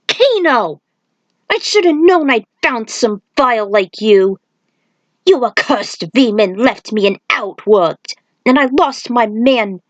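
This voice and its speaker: Woman voicing a slow-spoken defiant male hero. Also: a little high, lively intonation, no echo, dull audio, voice pushed up. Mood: angry.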